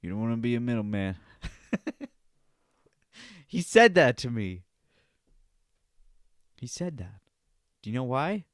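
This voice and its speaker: A man's voice: mid-range voice, sing-song, unhurried, long pauses, dry recording, clean studio sound. A man hosting his own comedy podcast, rambling, swearing and laughing at his own jokes. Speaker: podcast host. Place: home studio.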